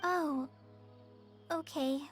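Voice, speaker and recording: A woman's voice: high-pitched, drawn-out words. A woman voicing an eager, timid young girl apprentice in a video game, earnest and polite with some hesitancy. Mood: hesitant. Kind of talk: scripted video game voice acting. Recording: music behind the voice.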